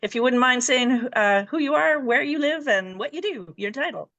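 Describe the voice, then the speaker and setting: A woman's voice: high voice, lively intonation, moderate pace, a bit bright, muffled recording. A woman from Canada co-hosting a video-call networking circle, explaining the rounds step by step. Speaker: co-host and medium. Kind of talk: casual group introductions.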